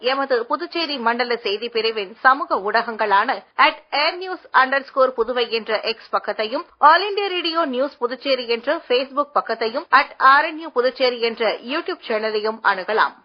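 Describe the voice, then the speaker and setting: A woman reading a scripted station announcement in a clear, even announcing manner. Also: sits high, normal speed, few pauses. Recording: muffled radio broadcast audio.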